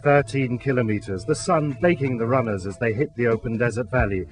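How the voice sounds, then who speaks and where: Male narrator, mid pitch, measured sports-documentary read, faint music bed. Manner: steady, measured delivery.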